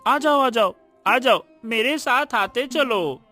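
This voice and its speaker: A woman's voice: a little high, expressive, takes it slowly, bright, forceful tone, soft background music, heavily acted. A woman voicing a coaxing male talking parrot in a cartoon story, repeating invitations to follow. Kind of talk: cartoon moral story acting.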